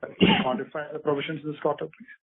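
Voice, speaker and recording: A man's voice: fairly high pitch, huge pitch swings, fast, slightly rough. A man calling in as an analyst with polite business questions on a conference call. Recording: tinny, noisy phone line.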